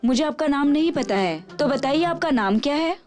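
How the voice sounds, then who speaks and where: A woman's voice: sits high, animated, normal speed, a little mellow. A woman voicing a polite, rule-bound receptionist in a dubbed cartoon, courteous, formal and firm. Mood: apologetic, firm. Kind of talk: dubbed animated cartoon acting. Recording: music behind the voice.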